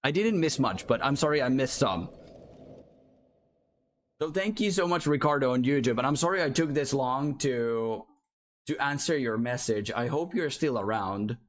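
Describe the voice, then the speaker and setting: Guy streaming a game, mid-pitched, chatty, reads chat and apologizes a lot. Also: lively intonation, normal speed. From Finland. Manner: chatty, apologetic, thinks aloud. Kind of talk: live gameplay commentary, reading chat aloud.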